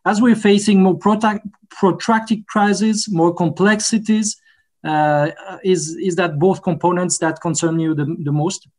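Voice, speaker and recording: A man's voice: sits high, steady tone, measured pace, a little mellow. A man moderating a video-call panel, asking long, searching questions.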